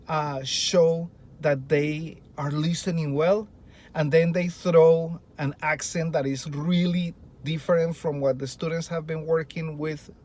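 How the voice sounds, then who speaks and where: A man's voice: a little high, a little mellow. A middle-aged man with a Colombian Spanish accent, a native Spanish speaker and a Spanish teacher, talking earnestly to fellow teachers and asking a lot of rhetorical questions. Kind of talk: presentation to teachers.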